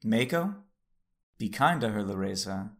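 A commanding Sith lord for an audio drama. The voice is gravelly and creaky, calm and understated, with a dry, teasing edge. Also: male voice, lowish voice, measured pace. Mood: wry, composed.

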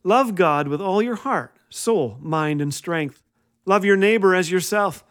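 A man's voice: sits high, animated, unhurried, a bit bright. A man hosting a humorous inspirational radio show, telling scripted comic stories in a wry, playful way. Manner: wry, playful, acts out quoted lines. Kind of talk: scripted comedic storytelling monologue.

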